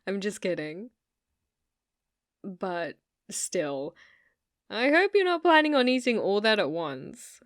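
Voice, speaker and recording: A woman performs a sweet British shop clerk for an ASMR roleplay, with an obsessive streak under the shyness. She speaks slowly and softly in a hesitant, solicitous way, very close and dry with no room sound. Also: mid-range voice, very animated, dry recording. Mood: chatty, teasing. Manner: hesitant, apologetic, solicitous, with soft giggles. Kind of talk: soft-spoken ASMR roleplay acting.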